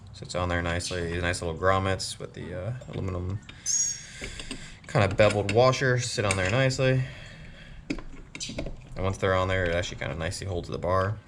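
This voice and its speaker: Very deep-voiced man talking through a DIY build. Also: background noise. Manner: relaxed, many "uh" pauses. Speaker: motorcycle builder. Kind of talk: casual DIY build walkthrough. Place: home workshop.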